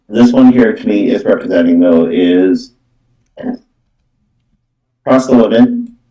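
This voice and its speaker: A low-pitched man speaks from a little way off the microphone in an echoey room. He gives spiritual card readings as a medium, improvising as he goes. His phrasing is halting and circles back on itself, padded with repeated filler words. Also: mellow, far from the mic. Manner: repetitive, halting phrasing with verbal tics like "though" and "actually".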